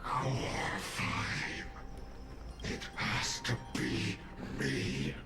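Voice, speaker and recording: An actor playing a relentless, broken swordsman villain in a video game, with halting, ominous, breathy growls. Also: medium pitch, sing-song, heavily acted. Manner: halting, ominous, fragmented speech. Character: menacing, relentless masked swordsman, broken and monstrous. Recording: music under the voice.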